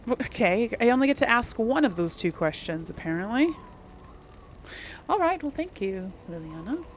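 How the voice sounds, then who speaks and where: A woman's voice: a little high, sing-song, moderate pace, slight background noise. A woman casually commenting on and role-playing through a video game, musing in a hesitant way. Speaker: gaming video host.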